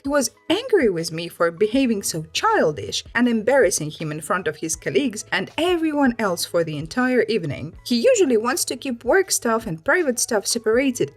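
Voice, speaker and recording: A woman hosting a channel, reading personal stories aloud in a scripted narration style and commenting on them in an expressive, opinionated way. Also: a little high, slightly rough.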